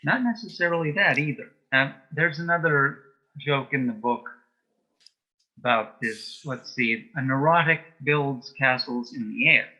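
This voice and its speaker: A man speaking as an interview guest in casual chat, telling jokes and anecdotes in a dry, measured way. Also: mid-range voice, sharp tone, dull audio.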